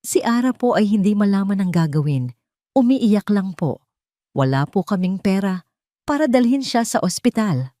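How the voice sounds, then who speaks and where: A woman voicing an anxious older female neighbor pleading over the phone in a trembling, halting, worried voice for an audio story. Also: mid-range voice, sing-song, warm tone, dry recording, clean studio sound, pitched above the performer's own voice, close to an everyday voice. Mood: panicked, pleading.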